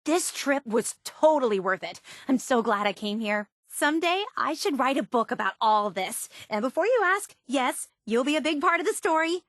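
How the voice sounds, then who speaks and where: An obsessive, wide-eyed young woman fan in a video game. Her voice is high and very animated, recorded close and dry. She speaks with gushing, earnest enthusiasm. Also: moderate pace, few pauses, no echo. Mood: proud, cheerful. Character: bubbly, obsessive young woman superfan of a soda theme park. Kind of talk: video game character voice acting.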